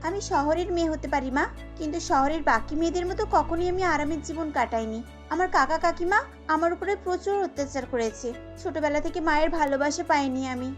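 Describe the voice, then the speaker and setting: High-pitched earnest young daughter-in-law played by a woman. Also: brisk, soft background music, close to an everyday voice. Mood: earnest, heartfelt. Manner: earnest, respectful, eager. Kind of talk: cartoon character acting.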